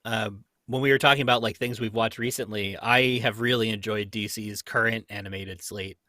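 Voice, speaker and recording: A man's voice: fairly low pitch, fairly even intonation, normal speed, bright, forceful tone, dry recording, studio quality. A young man chatting casually as a fan, opinionated and digressive, often dropping in "like". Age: young adult. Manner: opinionated, digressive, frequent use of "like".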